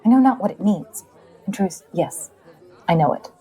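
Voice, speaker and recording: A woman's voice: medium pitch, expressive, moderate pace, mellow, husky, poor audio. A woman playing a troubled young princess in an audio drama, speaking with agitation, a faint crowd behind her. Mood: agitated disgust. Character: troubled young princess fleeing an unwelcome gaze.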